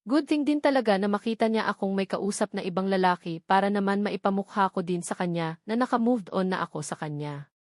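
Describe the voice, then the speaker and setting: Female audiobook narrator for first-person fiction, mid-range pitch, dry recording. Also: animated, brisk, dry recording.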